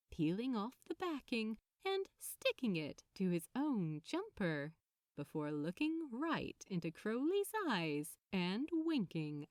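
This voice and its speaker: A woman reading a fan fiction story aloud as an expressive narrator. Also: on the high side, dry recording, clean studio sound. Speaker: podfic narrator.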